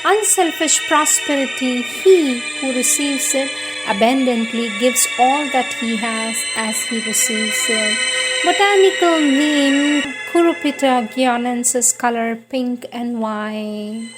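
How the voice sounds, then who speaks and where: Woman reader, fairly high voice, measured and solemn devotional delivery, clear music bed. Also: rough recording. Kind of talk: slow devotional scripted reading.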